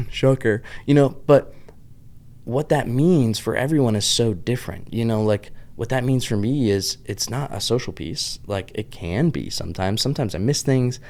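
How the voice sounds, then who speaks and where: A man's voice: mid-range voice, moderate pace, a little mellow. A man in his thirties with a Pittsburgh accent touched by the Southern US, talking in a chatty, tangent-hopping vlog monologue full of "like". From Pittsburgh, USA. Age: 30s. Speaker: vlogger host. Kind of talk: casual rambling monologue.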